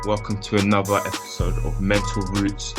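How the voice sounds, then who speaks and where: A young British man hosting a podcast in a relaxed, casual way, with loose phrasing. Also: lowish voice, moderate pace, loud music behind the voice. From Britain. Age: young adult. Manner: relaxed, loose, with fillers and restarts. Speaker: podcast host.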